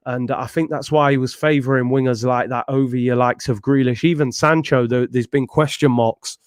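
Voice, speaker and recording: A man's voice: mid-range voice, fairly even intonation, normal speed, clean tone, dry recording, clean studio sound. A young man hosting a casual football chat, talking in a slangy, conversational way. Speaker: football channel host.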